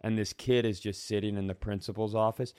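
A man's voice: fairly low pitch, fairly even intonation, brisk, darkish tone, smooth, no echo, studio quality. An American man tells a funny anecdote in a casual, profane podcast style, acting out bits of dialogue as he goes. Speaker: comedy podcast co-host. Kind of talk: casual comedic storytelling.

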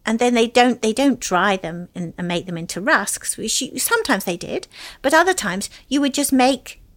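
A woman's voice: on the high side, animated, moderate pace. A woman, a food historian, answering interview questions in a chatty way with fillers and restarts.

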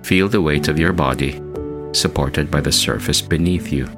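A man hosting a guided meditation, speaking in a measured, soothing way with long pauses between phrases. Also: extremely low pitch. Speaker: meditation podcast host. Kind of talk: slow guided meditation narration. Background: music bed.

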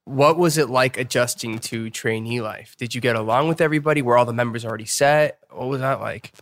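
A man's voice: medium pitch, brisk. A man hosting a casual interview, asking questions in a chatty, joking manner. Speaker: interviewer, host.

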